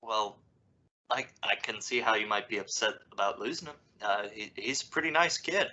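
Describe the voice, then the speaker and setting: Man playing an exasperated dad, bright and animated. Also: fairly low pitch, moderate pace. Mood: wry, resigned. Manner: hesitant, stammering, exasperated. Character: exasperated, wry father of a dramatic teenager. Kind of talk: old-time radio comedy acting. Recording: dull, lo-fi radio-play audio.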